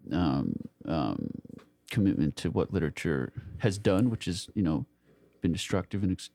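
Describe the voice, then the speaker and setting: A man with a fairly low voice, recorded close and dry. He talks casually about books as a co-host would, circling back over phrases as he hunts for the right word, with some loose profanity. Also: warm tone, dry recording. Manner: halting, searching for words, repeating phrases. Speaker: podcast co-host. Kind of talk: casual literary discussion.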